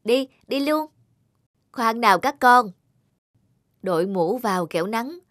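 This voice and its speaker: A woman's voice: a little high, lively intonation, a little slow, dry recording, clean studio sound. A woman playing a caring but strict mother of young boys in a cartoon, instructing them in a motherly way. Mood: kindly, instructing. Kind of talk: cartoon voice acting. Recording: loud music behind the voice.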